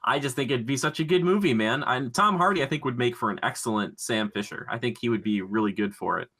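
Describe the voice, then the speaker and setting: A man co-hosting a podcast in casual chat, pitching his ideas in an excitable, slangy, rambling way. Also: fast talker. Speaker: podcast co-host.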